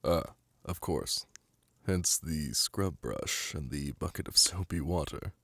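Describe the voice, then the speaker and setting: Guy doing a flirty demon prince asmr, slow purring husky voice, imperious but teasing, right up close on the mic. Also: rumbling low, a bit bright, no room sound, heavily acted. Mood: intrigued. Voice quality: gravelly, breathy. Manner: languid, purring, imperious endearments. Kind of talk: ASMR roleplay audio drama. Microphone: close, dry microphone.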